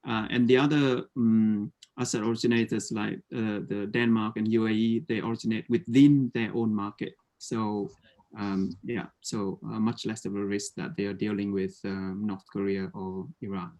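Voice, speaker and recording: A man's voice: on the low side, level tone, normal speed, darkish tone. A man in his forties from Vietnam with a Vietnamese accent, presenting his startup on a video call, hesitant with many fillers and restarts. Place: home room with slanted ceiling.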